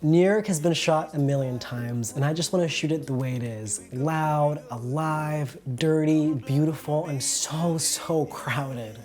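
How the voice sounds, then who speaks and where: A passionate filmmaker talks about their film in a heartfelt documentary voice-over. The voice sits at a medium pitch, with soft music playing underneath. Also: measured pace, hardly any pauses.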